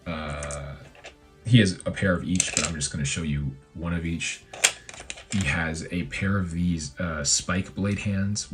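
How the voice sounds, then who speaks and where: A man with an extremely low, hoarse voice talks through a toy review in a loose, meandering way. He fills gaps with ums and likes and corrects himself as he goes. Faint music plays softly behind him. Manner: rambling, filler-heavy, self-correcting. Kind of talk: casual product review.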